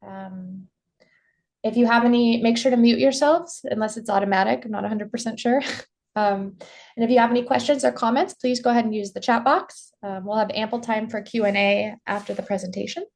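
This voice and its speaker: A woman's voice: fairly high pitch, steady tone, fairly quick, creaky, darkish tone. Friendly woman opening a webinar. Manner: friendly, casual, with frequent "um"s. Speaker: webinar host and head of product. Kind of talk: business webinar introduction.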